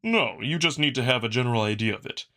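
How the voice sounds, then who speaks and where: A man's voice: medium pitch, expressive, quick pace, bright, forceful tone. A man voicing a large male beast noble in a visual novel, earnest and theatrical, with a grand, declaiming manner. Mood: patient, earnest.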